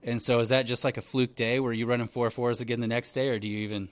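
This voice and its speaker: A man in his thirties hosting a podcast, telling stories in a relaxed chatty way full of "like" and "man". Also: medium pitch, quick pace. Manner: relaxed storytelling, lots of fillers like "like" and "man". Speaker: podcast host. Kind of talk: casual conversational interview.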